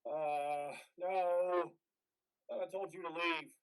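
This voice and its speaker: A haunted male soldier back from war, as an amateur actor plays him. His voice sits very high for a man. He speaks in halting, distressed phrases, as in a live play reading. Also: steady tone, clean tone. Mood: defiant, irritated. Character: haunted, groggy male army veteran with nightmares.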